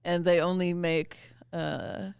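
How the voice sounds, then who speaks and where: A woman's voice: on the low side, little pitch movement, takes it slowly, vocal fry, a little mellow, clean tone, no room sound, studio quality. A woman guesting on a comedy podcast, teasing the hosts with sarcastic, crude jokes. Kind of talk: casual comedy banter.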